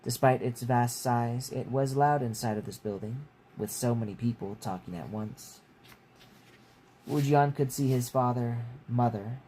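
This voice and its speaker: A man's voice: mid-range voice, sharp tone. A man narrating a story from his own book as a reader, with casual asides. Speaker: author reading own book. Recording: clear background noise.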